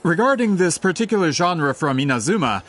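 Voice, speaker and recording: Polite persistent merchant, slightly high, animated pitch, courteous. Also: male voice, brisk, slight background noise. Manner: courteous, eager, slightly awkward. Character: polite eager male merchant, brisk and persistent. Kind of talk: game cutscene voice acting.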